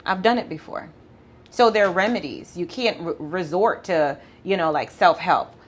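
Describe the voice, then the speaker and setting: Jamaican lady lawyer, talks fast, lowish voice, chatty and lively. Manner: fast-talking, animated, laughs often. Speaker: family law attorney, podcast guest. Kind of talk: casual interview talk.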